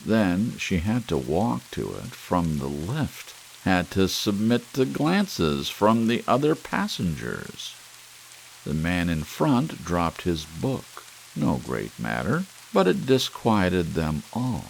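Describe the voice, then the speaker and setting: A man reading an audiobook in an ASMR style, deliberately and with expressive phrasing, in a deep, gravelly voice. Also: a little hiss or hum, poor audio. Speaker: audiobook narrator. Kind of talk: scripted ASMR audiobook narration. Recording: faint rain sounds behind voice. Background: steady soft rain.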